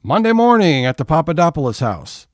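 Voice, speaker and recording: A man's voice: fairly high pitch, very animated, fairly quick, no echo, studio quality. A man narrating a scripted comedy serial as its announcer.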